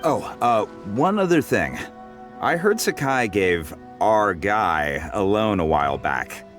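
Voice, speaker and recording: An actor playing a composed, businesslike military quartermaster in a video game, measured and courteous. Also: male voice, lowish voice, sing-song, fairly bright tone, soft background music, heavily acted. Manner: measured, courteous, matter-of-fact.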